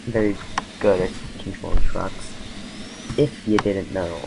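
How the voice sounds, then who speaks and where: Man, gameplay commentary, self-mocking, exclaiming, loud noisy recording. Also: low-pitched, steady tone, unhurried, vocal fry. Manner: rambling, exclaiming at crashes, self-mocking asides. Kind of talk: casual let's-play commentary.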